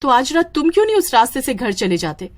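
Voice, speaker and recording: A woman's voice: high voice, very animated, quick pace, pitched above the performer's own voice. A woman voicing an earnest, emotional young working woman in a horror story. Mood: indignant. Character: upset, earnest young working woman who met ghosts. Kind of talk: Hindi horror story dialogue.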